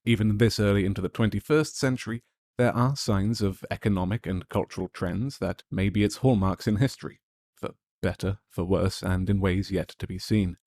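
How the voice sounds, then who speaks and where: Low-voiced podcast host, polished delivery. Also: male voice, moderate pace, a little mellow, no room sound. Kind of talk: scripted intro and interview questions.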